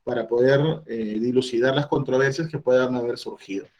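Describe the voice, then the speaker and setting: A man's voice: medium pitch, steady tone, moderate pace, a little mellow, lo-fi sound. A middle-aged man giving a training talk on labor inspections, explaining step by step and voicing the questions an employer might ask. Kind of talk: online training presentation.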